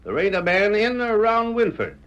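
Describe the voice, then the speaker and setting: An actor playing a talkative, loyal frontier deputy with a drawling rural dialect in a 1930s radio drama. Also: male voice, high-pitched, huge pitch swings, fairly quick, fairly bright tone, exaggerated voice. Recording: muffled old radio recording.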